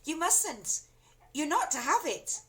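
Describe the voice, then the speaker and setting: Storybook kid, earnest and alarmed, as a reader puts him on, high voice. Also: female voice, wildly swooping pitch, slow pace, slight background noise, full character voice. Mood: pleading. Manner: pleading. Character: young boy, earnest and alarmed.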